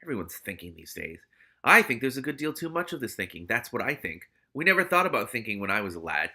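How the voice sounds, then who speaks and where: A man's voice: medium pitch, sing-song, fast, sharp tone, breathy edge, heavily acted. Man voicing a grumpy old rat boss. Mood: grumbling. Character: grumpy old male rat leader, big and mean.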